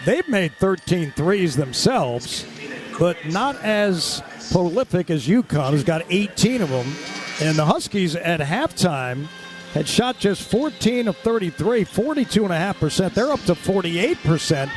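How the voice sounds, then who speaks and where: A man doing live radio basketball play-by-play, excitable and breaking into shouts on big plays. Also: high-pitched, a little slow, over music. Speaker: play-by-play sports commentator.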